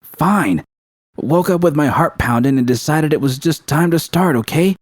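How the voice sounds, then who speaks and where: A man's voice: on the high side, lively intonation, moderate pace, dry recording, studio quality, pitched above the performer's own voice. Man voicing a defensive, snappish young woman. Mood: irritated, snapping. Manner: terse, evasive, snappish. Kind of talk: audiobook character dialogue.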